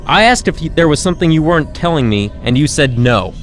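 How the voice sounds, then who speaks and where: A man as animated, challenging young hero. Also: fairly high pitch, fast talker, faint music behind, lightly acted. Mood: accusing. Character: challenging, outspoken young male hero, confronting his mentor. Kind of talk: amateur superhero radio drama acting.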